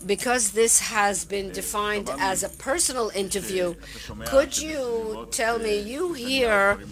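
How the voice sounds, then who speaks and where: A woman giving a live interpretation of a conference interview, with a measured, halting delivery and pauses as she keeps up. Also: mid-range voice, crowd noise. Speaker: simultaneous interpreter.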